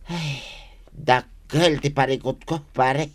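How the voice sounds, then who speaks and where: Troubled, jealous husband, played by a man: mid-pitched, plaintive, full of little interjections, radio comedy drama. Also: fairly even intonation, takes it slowly, natural voice.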